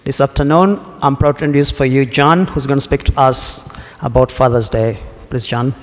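A man in a relaxed, wry manner introducing a guest speaker to a parish congregation. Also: medium pitch, normal speed, slight background noise. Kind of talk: church announcement. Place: church sanctuary. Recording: long church reverb.

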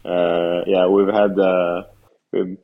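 Deep-voiced Norwegian man, matter-of-fact. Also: level tone, takes it slowly, narrow, tinny audio. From west coast of Norway. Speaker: podcast guest. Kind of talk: casual chat over a call.

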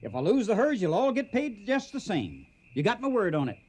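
Firm, folksy trail boss, higher-pitched Western drawl with animated swings, radio drama. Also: male voice, brisk, slightly rough, heavily acted. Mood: persuasive. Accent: American Western drawl. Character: determined, plain-spoken Texas cattle boss.

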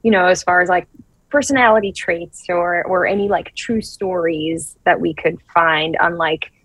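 A woman in her thirties from Brazil speaks in a lowish, thoughtful voice, often stopping and restarting as she finds her words. The sound is close and dry, with no room around it. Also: fairly bright tone, dry recording, low-quality recording. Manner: thoughtful, halting with many restarts and fillers. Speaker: actress guest. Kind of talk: casual interview answers.

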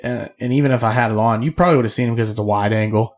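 A hoarse man co-hosting a podcast, telling a hunting story in a rambling, casual way with quick laughs. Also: mid-range voice. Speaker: podcast co-host. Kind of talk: casual chat among friends.

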